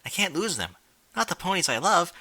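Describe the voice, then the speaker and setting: Forceful, bright-voiced man with lively pitch. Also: fairly quick. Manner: blunt, incredulous, informal. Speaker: fan fiction reader and commentator. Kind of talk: reading a story aloud with casual mocking asides.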